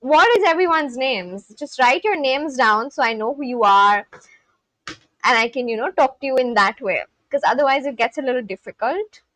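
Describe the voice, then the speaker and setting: A young woman from Kolkata with an Indian English accent and a fairly high voice chats casually on her livestream. She is self-deprecating and full of fillers. The sound is dry and close with no room around it. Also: expressive, moderate pace, fairly bright tone, no room sound, rough recording. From Kolkata, West Bengal, India. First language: Bengali. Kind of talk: casual livestream chat, reading comments aloud.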